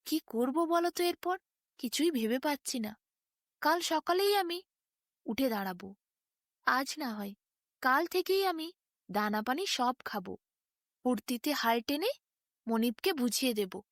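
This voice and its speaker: Lady doing a scared storybook ox, high voice, begging and fretting, gullible guy, dry sound. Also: animated, unhurried, pauses often, dry recording. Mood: grateful, anxious. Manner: anxious, pleading questions. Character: frightened, pleading male ox, gullible and grateful.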